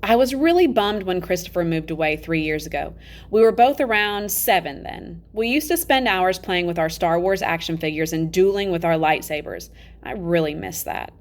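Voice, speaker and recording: A woman reading a children's novel aloud in an animated, emphatic storybook style, acting out the dialogue. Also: on the low side, moderate pace. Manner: expressive, emphatic, acts out dialogue.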